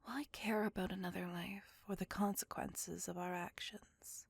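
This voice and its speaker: A woman voicing a gentle, remorseful immortal vampire in an ASMR roleplay, speaking tenderly and intimately in a breathy whisper. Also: on the low side, fairly even intonation, no room sound, exaggerated voice. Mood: grave, bitter. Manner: slow, tender, earnest confiding.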